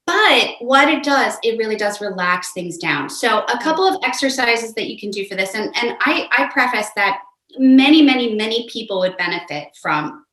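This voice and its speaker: Woman, middle-aged, voice coach, bright tone, halting explanations, echoey room. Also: lively intonation. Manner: explains with many restarts, demonstrates pitch by voice. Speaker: guest voice coach. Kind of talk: conversational explanation.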